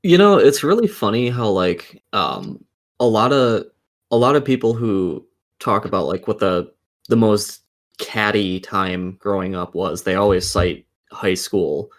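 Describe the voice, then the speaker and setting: A man's voice: lowish voice, animated, a little slow. A man in his thirties, a podcast co-host in casual conversation. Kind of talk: casual chat.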